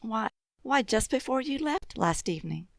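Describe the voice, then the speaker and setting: A woman's voice: fairly high pitch, sing-song, normal speed, creaky, no room sound. A woman acting a polite, flustered office secretary in an old-style radio drama, with a hesitant manner. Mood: polite, puzzled.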